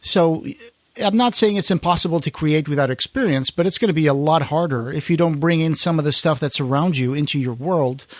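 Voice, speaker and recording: A man's voice: fairly high pitch, fairly quick, dry recording. A man hosting a podcast, chatting casually and reading out listener comments. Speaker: podcast host.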